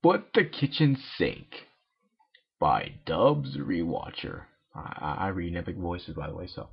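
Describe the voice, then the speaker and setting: Young male amateur narrator, swingy pitch, self-deprecating humor, somewhat dull lo-fi sound. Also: very animated, unhurried, vocal fry, breathy edge. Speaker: amateur fan fiction reader. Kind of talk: informal storybook reading with casual asides.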